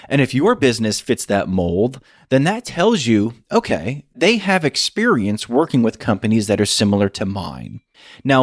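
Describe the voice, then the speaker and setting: A man's voice: medium pitch, dry recording. Man presenting an explainer podcast, dry sound. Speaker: podcast host and business advisor. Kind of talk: scripted explainer podcast narration.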